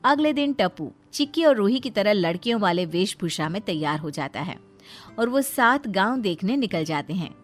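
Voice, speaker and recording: Woman narrator doing dramatic story read, normal pitch, music bed under it. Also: lively intonation, moderate pace.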